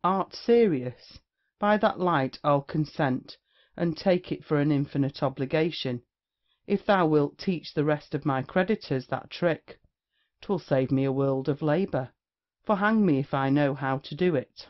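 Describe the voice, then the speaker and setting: A man's voice: a little high, measured pace, lightly acted. Brazen mocking rake played by a man, muffled recording. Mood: insolent. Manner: declamatory, mocking. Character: brazen debt-dodging Restoration rake, witty and insolent. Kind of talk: theatrical period comedy acting.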